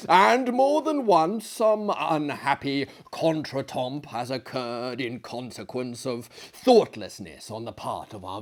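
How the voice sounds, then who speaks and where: A man voicing a courteous, excitable older gentleman who runs an asylum, emphatic, effusive and formal, in a story reading. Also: medium pitch.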